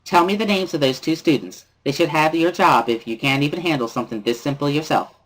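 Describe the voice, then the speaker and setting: A man voicing a cheerful, loud teenage schoolgirl who scolds emphatically as she relays a bossy class president's words. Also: a little high, brisk, poor audio. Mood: indignant.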